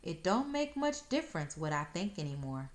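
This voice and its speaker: A woman's voice: on the low side, big pitch swings, normal speed. A woman voicing a sassy young country girl in a storybook, teasing and matter-of-fact in rural dialect. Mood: smug.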